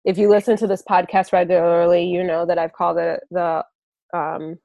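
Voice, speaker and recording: A woman hosting a podcast, talking in a measured, reflective way. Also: on the low side, little pitch movement, gravelly, mellow, no room sound, clean studio sound. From United States. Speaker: podcast host. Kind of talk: casual podcast chat.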